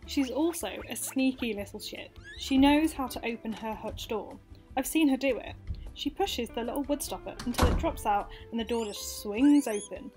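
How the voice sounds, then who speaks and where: A woman's voice: sits high, a little mellow. A young woman acting out a wry, frank, confessional monologue as narrator of a radio play. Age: young adult.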